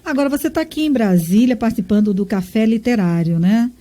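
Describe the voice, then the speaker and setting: A woman's voice: a little high, quick pace, dark tone. A Brazilian woman interviewing an author, asking questions and affirming his answers with enthusiasm. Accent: Brazilian Portuguese.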